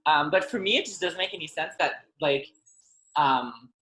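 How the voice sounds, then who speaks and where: A man in his thirties, a French speaker, talking as a guest in a casual video-call interview, hesitant and quick to laugh. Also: on the high side, animated, bright, forceful tone. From Ontario, Canada. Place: home room. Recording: echoey video-call audio.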